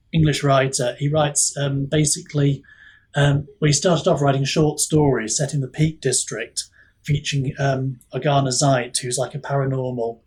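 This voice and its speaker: A man's voice: mid-range voice, darkish tone, husky. A man chatting casually as an author and podcast guest, thinking aloud in a hesitant way. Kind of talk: casual chat.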